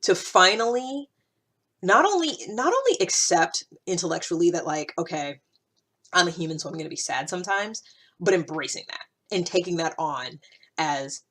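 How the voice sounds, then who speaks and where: A woman's voice: lowish voice, expressive, moderate pace, a bit bright. A woman talking casually and reflectively to her listeners, thinking aloud in an informal, searching way with emphatic points. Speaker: host of a personal-growth series.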